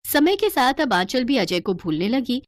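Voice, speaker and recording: A woman's voice: fairly high pitch, very animated, normal speed, dry recording, studio quality. A woman storyteller giving dramatic scripted narration for a cartoon tale.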